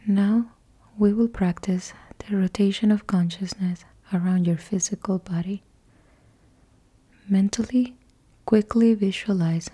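Soft breathy lady whispering a yoga nidra, slow and mellow, low-ish voice. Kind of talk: guided meditation.

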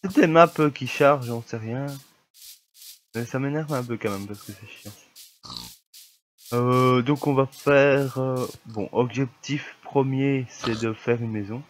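A man's voice: mid-range voice, a little slow, slight background noise. A young man with a cold talking through his gameplay in casual, grumbling commentary, with a slightly stuffy voice. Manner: grumbling, easily annoyed at lag. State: has a cold, coughing. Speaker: gamer.

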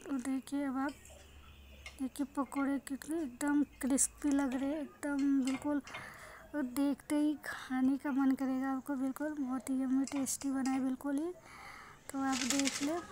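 Indian lady doing a casual cooking demo, really high voice, chatty, kitchen noise behind her. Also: a little mellow. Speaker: home cook and vlog host. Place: indoor home kitchen, beside frying pan. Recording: noisy kitchen phone recording.

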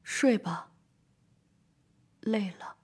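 A woman playing a tearful, evasive young wife in an audio story, speaking softly and wearily in brief, subdued replies. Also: extremely slow, in a whisper. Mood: quiet, weary. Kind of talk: audio horror story dramatization.

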